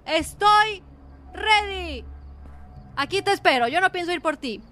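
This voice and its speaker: A woman's voice: extremely high pitch, lively intonation, a little slow, bright, forceful tone, a little hiss or hum. A woman with a Mexican accent commentating a horror game as a streamer, dramatic and teasing, sometimes breaking into song. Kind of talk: gaming livestream commentary.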